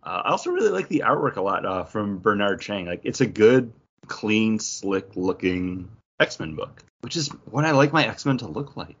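Animated, husky-voiced man chatting. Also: lowish voice, normal speed, dull audio. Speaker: podcast co-host. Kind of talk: casual chat about comics.

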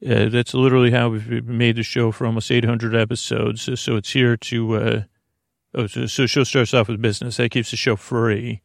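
A man speaks in a creaky, gravelly voice, recorded dry and close with no room sound. He hosts a bedtime show, wandering through his sentences in a lulling way, with frequent hesitations, stammers and restarts. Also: mid-range voice, level tone, no room sound. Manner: halting, hesitant, stammering with repeated false starts; lulling, soothing. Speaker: podcast host. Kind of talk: rambling, meandering bedtime monologue.

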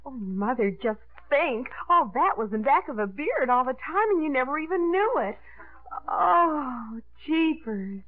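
A woman playing a gushing, dreamy, excitable teenage daughter in a 1950s radio drama. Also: sits high, a little slow. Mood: smitten, gushing. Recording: tinny, band-limited 1950s radio broadcast.

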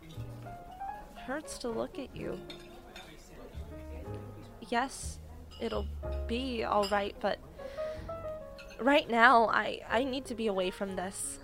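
An actress playing a gentle, indecisive city woman in an audio drama, speaking in an earnest, careful, halting way. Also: fairly high pitch, vocal fry. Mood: hurt, shaken. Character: gentle, hurt, indecisive city woman, werewolf's best friend. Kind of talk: audio drama acting, naturalistic. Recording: music playing behind the voice.

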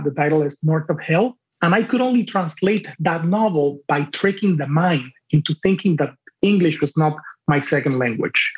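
A man whose first language is Spanish talks in a slightly higher-than-average register, weighing each phrase and often circling back to restart a thought. He sounds like a guest on a podcast conversation. The audio is dull and lo-fi, like a remote call. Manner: deliberate, with frequent restarts. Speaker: translator guest.

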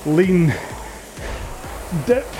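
A man's voice: sits high, animated, very slow, dark tone. A man coaching a rowing workout in a casual, rambling, jokey and conversational way. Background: music.

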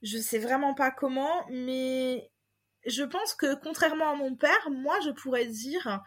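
High-voiced hesitant French woman guest. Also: fairly bright tone. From France. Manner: hesitant, many fillers and restarts. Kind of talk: casual personal testimony.

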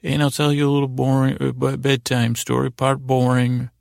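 Male bedtime podcast host, heavy vocal fry, flat intonation, halting and whimsical rambler who loses his way between words. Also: mid-range voice, no room sound, clean studio sound. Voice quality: gravelly, creaky. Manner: gently silly, whimsical.

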